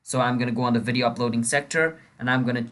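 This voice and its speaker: Male tutorial host, medium pitch, informal and chatty step-by-step narration addressed straight to the viewer. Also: steady tone, rapid-fire, a bit bright. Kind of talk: casual screen-recording tutorial narration.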